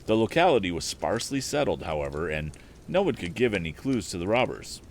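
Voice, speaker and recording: Male storyteller, low voice, lively intonation, deliberate phrasing, scripted story narration, faint background noise. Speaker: storytelling host. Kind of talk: live audiobook reading, scripted narration.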